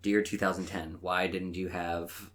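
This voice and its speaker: A man with a low-pitched voice talks as a podcast co-host. He is informal and conversational, giving his opinions bluntly. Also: little pitch movement, a little slow, crowd noise. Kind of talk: casual chat.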